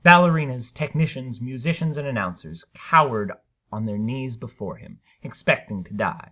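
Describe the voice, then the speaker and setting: A man's voice: medium pitch, bright, forceful tone. A man narrating a short story as a dramatic reading, with theatrical, emphatic delivery. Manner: theatrical, emphatic, deliberate phrasing.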